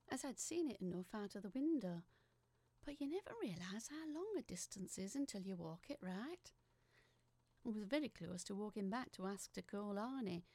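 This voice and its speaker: A woman's voice: medium pitch, mellow, dry recording. A woman playing a chatty, apologetic working-class factory worker, telling her story as a rambling, wistful letter in an audio drama. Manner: rambling, self-deprecating, wistful.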